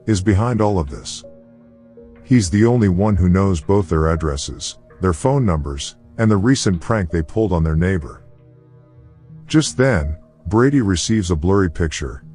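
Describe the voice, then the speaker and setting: A man with a very low-pitched voice delivers scripted movie recap narration. Faint music plays behind him as he tells the story. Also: darkish tone.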